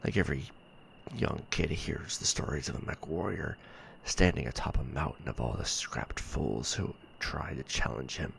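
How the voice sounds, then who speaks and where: An actor playing a weary, bitter stranded mech warrior, muttering a sci-fi audio log in a breathy, gravelly murmur with sighs and hesitant pauses. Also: male voice, a bass voice, animated, fairly bright tone, full character voice. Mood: sardonic frustration. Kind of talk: sci-fi ASMR roleplay audio log monologue.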